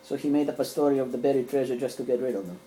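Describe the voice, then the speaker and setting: A man's voice: medium pitch, rapid-fire, mellow, a little hiss or hum, poor audio. A middle-aged man whose first language is Serbian, casually showing his comic collection at home, rambling with fillers and restarts. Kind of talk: casual collector show-and-tell. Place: small room at home.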